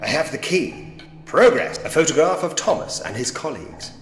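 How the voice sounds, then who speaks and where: An actor playing a sceptical, dryly witty Edwardian gentleman investigator in a point-and-click mystery game. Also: a little high, sing-song, normal speed. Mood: wry musing. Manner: wry, muttering to himself, old-fashioned gentlemanly phrasing. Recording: echoey hall reverb, music underneath.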